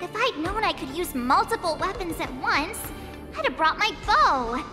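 A woman playing a cheerful, girlish novice swordswoman in an anime-style video game, perky and expressive. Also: very high voice, normal speed. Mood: casual. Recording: long reverb, music behind. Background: music.

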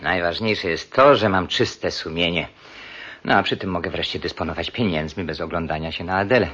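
A suave, cold-blooded schemer from a radio play. His voice sits low and has a husky grain, and he speaks with smug condescension. The recording is dull and muffled. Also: fairly quick, pushed and bright. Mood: smug, feigned grief. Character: cold, self-pitying scheming murderer, clinic-owning husband. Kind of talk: radio drama acting.

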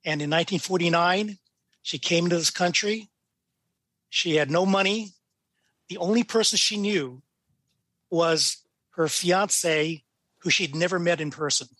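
Higher-pitched older American man, storytelling. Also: a little slow, long pauses, fairly bright tone, dry recording. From California, United States. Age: 60s. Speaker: guest, judge. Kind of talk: casual storytelling in an interview.

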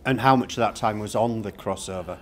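A man's voice: fairly low pitch, brisk. A middle-aged man acting as interviewer, putting short, casual questions. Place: large glass-roofed exhibition show room.

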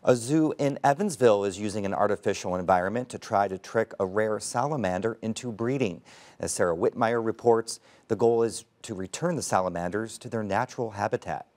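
A man in his thirties anchoring a news program in a crisp, formal read. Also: on the low side, a little fast, darkish tone.

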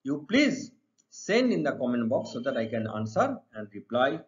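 A man's voice: medium pitch, very animated, normal speed. A middle-aged man with an Indian accent teaching an exam-preparation lesson, reading out questions and explaining the answers didactically, often repeating his phrases. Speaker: English literature teacher. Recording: dull, muffled lo-fi sound.

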